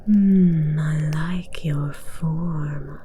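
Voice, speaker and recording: Woman playing a slow, whispering dragon queen. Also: sits low, fairly even intonation, dark tone, slight background noise. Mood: admiring, teasing. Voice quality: breathy whisper. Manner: slow, regal, formal, teasing. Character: imperious, amused, seductive female dragon queen. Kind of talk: whispered ASMR roleplay.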